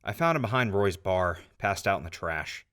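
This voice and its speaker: A man playing a gruff, irritable lawman in an audio drama, brusque and grumbling. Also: sits low, big pitch swings, normal speed, a bit bright. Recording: clearly noisy background.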